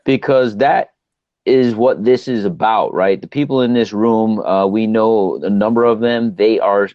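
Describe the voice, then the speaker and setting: A man hosts a live online talk show, upbeat and encouraging toward his guest and his audience. His voice sits a little low. The sound is dry and dull, like a lo-fi computer mic. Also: unhurried, dry recording. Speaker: host.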